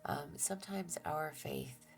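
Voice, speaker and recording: A woman giving a gentle tarot reading, soft-spoken and reassuring, who often drops to a near-whisper and talks through a stuffy nose. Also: low voice, takes it slowly, vocal fry, faint music behind. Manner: gentle and reassuring, with fillers and restarts. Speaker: tarot reader. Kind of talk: relaxed tarot reading to viewers.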